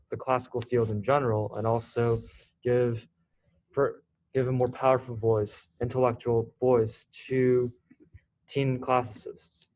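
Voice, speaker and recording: A man's voice: mid-range voice, a little mellow, a little hiss or hum, rough recording. Teenage boy hosting a video call. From South Korea. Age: teens. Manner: halting, many fillers. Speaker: student club organizer. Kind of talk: casual video call meeting.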